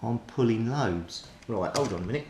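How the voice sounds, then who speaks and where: A man with a fairly low voice talks through a hands-on repair step by step. He thinks aloud in a methodical, unscripted way. The room is quite echoey, with clear rumbling noise behind him. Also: animated, normal speed, a little mellow. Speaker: hobbyist radio repairer presenting his progress. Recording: roomy echo, clear rumbling background noise.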